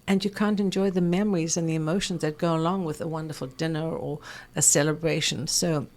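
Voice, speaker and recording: Older female interview guest, low pitch, English South African accent, theatrical conversational raconteur. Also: fairly even intonation, a little fast, a little mellow. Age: 60s. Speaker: interview guest, fragrance expert. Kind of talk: conversational personal storytelling.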